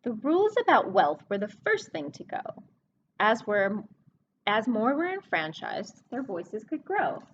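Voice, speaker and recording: Woman, children's story time reader, animated pitch swings, explanatory, slightly dull recording with faint noise. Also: measured pace. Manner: expressive, explanatory, addressing young listeners. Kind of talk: read-aloud picture book storytelling with asides to children.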